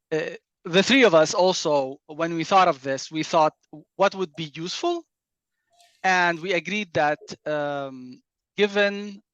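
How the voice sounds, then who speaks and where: A man from Dubai, a panel speaker telling personal stories over a video call, pausing often with fillers. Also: fairly high pitch, a bit bright, no echo, lo-fi sound. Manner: hesitant, many uh fillers. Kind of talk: personal storytelling talk.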